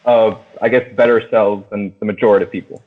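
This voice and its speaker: Low-pitched man, thin phone-like sound. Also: fast talker. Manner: excitable slangy talk, heavy swearing. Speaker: podcast host. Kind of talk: casual movie discussion.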